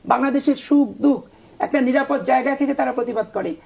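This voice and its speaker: A woman's voice: sits high, steady tone, brisk, vocal fry, a little mellow. A middle-aged woman from Bangladesh speaking as a politician, arguing combatively in a discussion with rhetorical questions.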